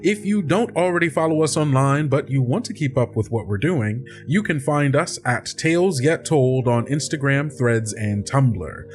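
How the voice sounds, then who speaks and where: A man's voice: mid-range voice, expressive, moderate pace, few pauses, faint music behind. A man speaking conversationally as a host and player on an actual-play podcast.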